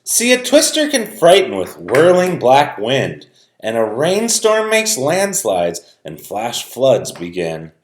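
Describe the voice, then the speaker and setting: A man's voice: medium pitch, huge pitch swings, takes it slowly. A man voicing a kindly old farm father in a storybook, earnest, folksy and comforting. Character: kindly old farm papa, smiling and reassuring. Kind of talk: storybook read-aloud character voice. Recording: roomy, echoing sound.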